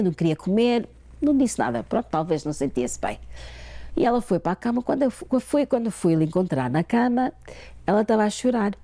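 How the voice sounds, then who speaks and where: A middle-aged woman from Portugal with a European Portuguese accent tinged by Canada, telling a personal story as a guest, unhurried and full of fillers. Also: medium pitch, mellow, dry recording. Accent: European Portuguese with a Canadian touch. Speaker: guest author. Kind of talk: personal storytelling in a talk show interview.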